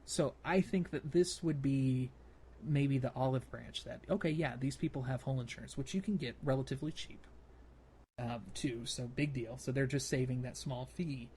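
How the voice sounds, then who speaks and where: A man's voice: medium pitch, normal speed, a little mellow. Man podcasting over noticeable background noise. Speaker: podcast co-host. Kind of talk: casual podcast discussion of gaming.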